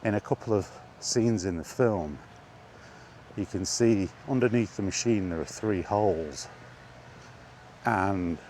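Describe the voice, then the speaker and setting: A man chatting casually on his own, enthusiastic but halting, with frequent ums and restarts. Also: deep voice, a little mellow, slight background noise. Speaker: vlogger. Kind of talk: casual rambling monologue. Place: outdoors, walking.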